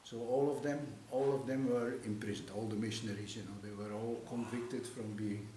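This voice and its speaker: A man in his seventies from Belgium with a Flemish accent, a native Dutch speaker, telling history in an interview in a halting, repetitive way as a missionary priest. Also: medium pitch, steady tone, mellow. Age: 70s. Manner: halting, repeats phrases and affirmations. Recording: echoey room with background hiss.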